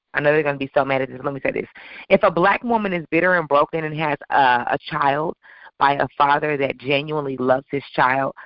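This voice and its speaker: A hoarse woman co-hosting a podcast, talking in an emphatic, opinionated, sassy way. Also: low-pitched, no room sound. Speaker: podcast co-host. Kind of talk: casual podcast talk. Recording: dull lo-fi sound.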